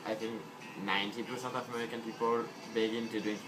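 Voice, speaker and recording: A man's voice: lowish voice, flat delivery, fast talker, low-quality recording. A young man with a French accent, a student answering interview questions while searching for words. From France. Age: young adult. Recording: clear music playing behind.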